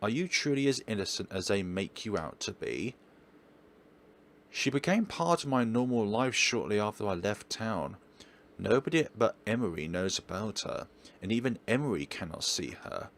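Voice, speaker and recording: A man's voice: lowish voice, animated, moderate pace, slight background noise. A man doing let's play commentary, thinking aloud and reading visual novel text as he goes.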